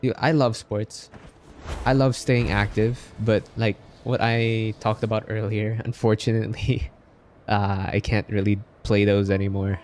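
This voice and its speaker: A man's voice: lowish voice, little pitch movement, a little slow, darkish tone, slight background noise. A young man chatting casually on a game livestream in a friendly, laid-back, talkative way. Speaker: game streamer. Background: game music.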